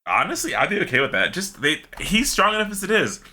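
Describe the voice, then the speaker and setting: A man co-hosting a casual gaming chat, animated and slangy, swearing casually as he talks. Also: high-pitched, fast, breathy edge. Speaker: podcast co-host.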